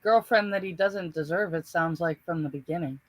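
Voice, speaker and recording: A woman's voice: lowish voice, brisk, no echo, rough recording. A woman chatting casually as a co-host on a stream. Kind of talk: casual chat.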